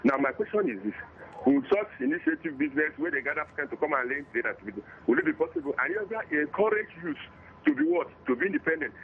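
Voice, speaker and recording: A man from Nigeria calling in to a radio talk show, asking his question with emphatic, rhetorical flourishes. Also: fairly high pitch. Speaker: caller. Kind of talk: call-in question. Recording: tinny phone line with faint noise.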